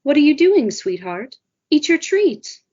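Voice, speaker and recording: A woman's voice: high voice, big pitch swings, unhurried, mellow, lo-fi sound. An actress playing a kindly but controlling older female teacher, sweet and coaxing yet insistent, in an audio drama.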